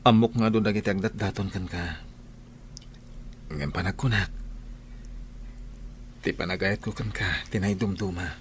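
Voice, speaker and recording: An actor playing a lovestruck young village suitor in a radio drama, speaking in a heartfelt, halting, pleading way. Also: male voice, low voice, slight background noise, close to an everyday voice. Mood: earnest devotion.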